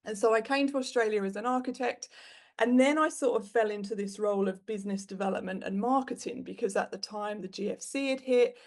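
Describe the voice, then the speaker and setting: A woman's voice: mid-range voice, a little fast. A woman in her thirties from the UK with a British accent, a podcast guest telling her career story frankly, with plenty of fillers. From United Kingdom. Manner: frank and animated, with frequent fillers. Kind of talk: candid conversational storytelling.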